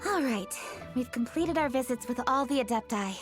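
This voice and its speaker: A woman's voice: on the high side, lively intonation, normal speed, over music, close to an everyday voice. A woman voicing a composed, dutiful young noblewoman official in a video game, polite, formal and decisive. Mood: businesslike.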